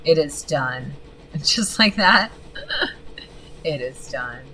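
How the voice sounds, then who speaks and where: Gravelly woman streamer, bright lower voice, rambling and swearing over a faint hiss. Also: expressive, extremely slow, low-quality recording. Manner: chatty, swears freely, reads game text aloud. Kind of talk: casual gaming stream commentary.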